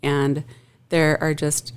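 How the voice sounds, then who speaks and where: Low-pitched woman chatting thoughtfully, laughs a lot, easygoing. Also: little pitch movement, slow pace, smooth. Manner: reflective, laughs often. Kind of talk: casual chat.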